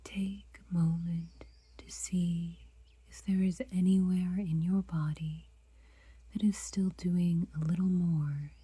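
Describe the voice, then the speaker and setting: A woman guiding a meditation in a soft, breathy whisper, unhurried and gentle, leaving long pauses. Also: lowish voice, fairly even intonation, no echo. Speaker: meditation guide.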